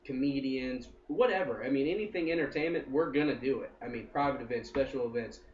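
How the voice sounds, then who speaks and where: A man's voice: mid-range voice, brisk, a little mellow, a little hiss or hum. A man in his early twenties, a company co-founder, talking in a rambling, upbeat way in a casual interview, with many "I mean" fillers. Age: 20s. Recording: roomy, distant and dull sound.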